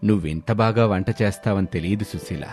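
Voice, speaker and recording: A man voicing a kindly village benefactor in an animated moral story, generous and encouraging in manner. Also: fairly low pitch, a little fast, soft background music, voice pushed down, natural voice. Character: kindly village benefactor offering a poor woman help.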